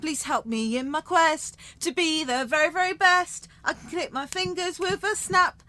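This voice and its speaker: A woman's voice: extremely high pitch, normal speed, bright, forceful tone. A woman playing a deluded, eager young airport check-in clerk in a radio comedy. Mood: pleading. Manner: eager, self-assured, theatrical.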